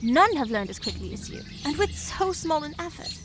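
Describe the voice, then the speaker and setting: A woman playing a gentle, devout rabbit healer mentor, earnest and pleading, in a fantasy video game. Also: sits high, big pitch swings, moderate pace, noisy background. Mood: solemn pleading. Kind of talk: dramatic video game dialogue.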